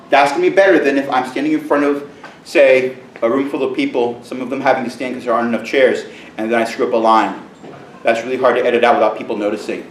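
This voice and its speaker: A middle-aged male teacher giving a conference presentation in a loud, booming voice with joking asides, recorded from far off in a large room. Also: echoey room, a little hiss or hum. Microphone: camera mic from far off.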